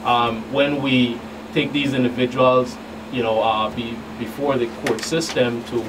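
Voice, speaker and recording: A middle-aged man gives a talk show interview as a law enforcement agent. He speaks in careful, halting phrases at a medium pitch. He sounds off the microphone, with a clear hum in the room. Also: steady tone. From U.S. Virgin Islands. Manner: halting, deliberate, hesitant phrasing. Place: small radio studio. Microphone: studio boom microphones in view. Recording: distant mic, clearly noisy room hum.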